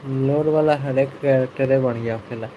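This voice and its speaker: A man's voice: medium pitch, fairly even intonation, very fast. A man giving casual gameplay commentary as a streamer. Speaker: game streamer. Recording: dull mic with faint noise.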